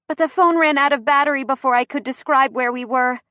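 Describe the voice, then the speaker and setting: Woman acting a shrill, strained woman in labor. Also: steady tone, brisk, sharp tone, no room sound. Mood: pained, sarcastic. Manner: strained, indignant, clipped bursts. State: panting through pain. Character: bossy, sharp-tongued pregnant woman in painful labor.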